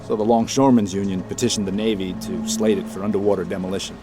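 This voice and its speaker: Man playing a low-voiced, grumbling dockside man. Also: fairly even intonation, fast talker, over loud music, natural voice. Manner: grumbling, blunt. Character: surly, grumbling waterfront man, stubborn and dismissive. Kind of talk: full-cast audio drama acting.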